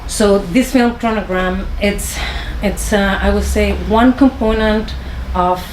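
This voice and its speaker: Woman, Chilean Spanish accent, halting delivery, echoey room. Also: mid-range voice, fairly even intonation, darkish tone, a little hiss or hum. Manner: hesitant, many pauses and restarts. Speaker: filmmaker introducing her screening. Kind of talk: informal talk to an audience. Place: small film venue.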